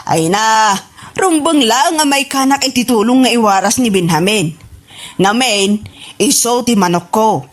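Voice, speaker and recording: An actress with an Ilocano accent playing an excitable, opinionated village woman in a radio comedy drama, speaking emphatically and expressively. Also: on the high side, unhurried. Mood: eager.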